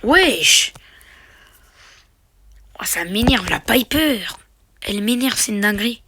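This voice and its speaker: A young man commenting on his own mobile gameplay, with a sore throat and a slight cold, switching between excited slangy cheering and quiet muttering under his breath. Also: high-pitched, takes it slowly, breathy edge. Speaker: mobile game youtuber.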